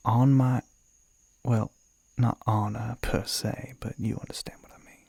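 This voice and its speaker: A man playing a suave, flirty male demon in an ASMR roleplay, with a Southern American accent, a breathy, gravelly voice that often drops to a whisper, and a teasing, coaxing drawl. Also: medium pitch, level tone, a little slow, a little mellow, dry recording. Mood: coaxing, reassuring.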